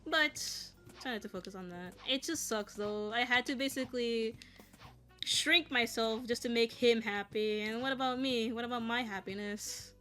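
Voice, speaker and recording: A woman's voice: fairly high pitch, moderate pace, a bit bright, soft background music. A woman in her late twenties talking casually and frankly while she plays a game. She vents with a lot of swearing and nervous laughter. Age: 20s. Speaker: content creator. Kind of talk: casual candid talk while gaming.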